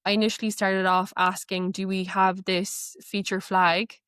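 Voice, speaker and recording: A woman gives explanatory answers as an interview guest. Her voice is smooth and clear at a middle pitch, with fairly even intonation, recorded dry. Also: moderate pace, dry recording. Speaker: guest, AI knowledge manager.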